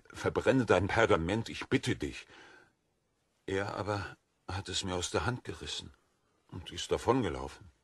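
Gentle prisoner, deep voice, dry studio sound, earnest, naive, audio drama. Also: male voice, slightly rough, dry recording, full character voice. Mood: earnest, troubled. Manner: earnest, plain-spoken.

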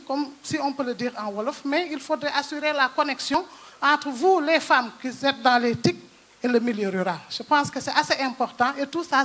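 A middle-aged woman speaks on a handheld microphone from a conference stage. Her voice is high. She makes her points earnestly, one after another, as she moderates. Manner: earnest, advocating, listing points. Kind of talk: conference panel moderation and speech. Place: large conference hall on a stage.

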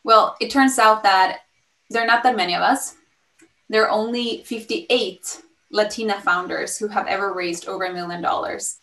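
A woman in her thirties from Sweden with a Swedish accent, pitching her startup on a video call in an upbeat, fluent way with frequent ums. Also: steady tone, normal speed, a bit bright. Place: home room, indoors. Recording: roomy and slightly distant.

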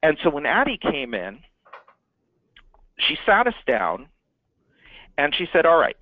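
A man's voice: a little high, wildly swooping pitch, a little slow, dry recording, phone-quality sound. Man teaching business marketing, emphatic and repetitive. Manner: emphatic, repeats words for stress. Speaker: marketing consultant. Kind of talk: business training talk.

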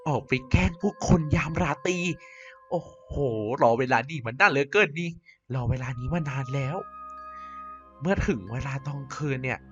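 A woman's voice: low voice, animated, moderate pace. A woman voicing a vain, mischievous female vampire queen in a Minecraft cartoon, talking in a theatrical, self-important way over faint music. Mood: scheming. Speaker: narrating YouTuber doing all voices. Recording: slightly dull with faint music.

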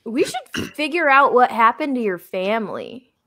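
A woman's voice: on the high side, very animated, normal speed, pushed and bright. A woman reading a part and chatting casually at a live table read over a video call. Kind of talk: character reading and casual banter.

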